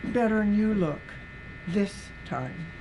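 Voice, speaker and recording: A woman's voice: medium pitch, lively intonation, slow, noisy background. A woman voicing a shrewd, fond older aunt in folksy rural dialect, as in a storybook. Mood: grudgingly forgiving.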